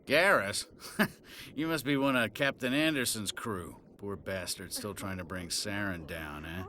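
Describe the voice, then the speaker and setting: A man's voice: on the low side, a little slow, pushed and bright, a little hiss or hum. An actor playing a disgraced middle-aged policeman in a video game, boozy, sardonic and sneering. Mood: sardonic, bitter.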